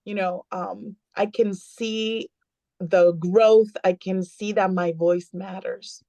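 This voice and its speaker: A woman in her fifties whose first language is Spanish, speaking heartfelt and halting in a casual panel talk. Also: mid-range voice, no room sound, muffled recording. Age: 50s. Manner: warm, heartfelt, halting with fillers. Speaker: guest, community member.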